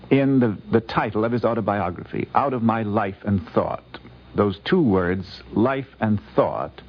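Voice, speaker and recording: Low-voiced, gravelly man explaining ideas. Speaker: guest scholar commenting. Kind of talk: considered explanatory commentary. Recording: dull vintage 1950s radio recording.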